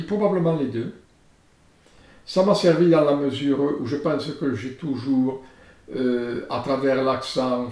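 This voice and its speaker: An older man with a southern French accent, an archaeologist speaking thoughtfully in a filmed interview in his book-lined study, gesturing and pausing with hesitations. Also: medium pitch, dark tone. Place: study lined with bookshelves, indoors. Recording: roomy study sound.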